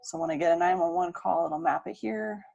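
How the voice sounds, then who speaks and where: Woman dispatcher walking thru her job, kinda low voice, some fry, matter of fact. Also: a little fast, darkish tone, highs cut off. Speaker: emergency dispatcher. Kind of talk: informal explanation of the job and workstation.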